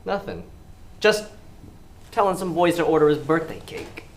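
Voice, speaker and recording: A man's voice: on the high side, very animated, moderate pace, roomy sound. Young man acting coaxing criminal schemer, swooping delivery. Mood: quietly commanding. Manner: coaxing, pointed, commanding. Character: smooth, persuasive male criminal schemer recruiting a reluctant worker. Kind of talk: stage play acting. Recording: noisy live stage recording.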